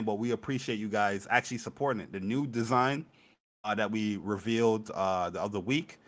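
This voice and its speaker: Bright-voiced, hype podcast co-host man. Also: mid-range voice. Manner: energetic, hype. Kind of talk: casual chat.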